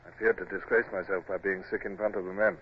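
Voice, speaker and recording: A man's voice: low voice, steady tone, fast talker, slight background noise, lightly acted. An actor playing a weary naval captain, narrating his battle in the first person for a radio drama. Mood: sombre, weary. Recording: dull old radio drama recording.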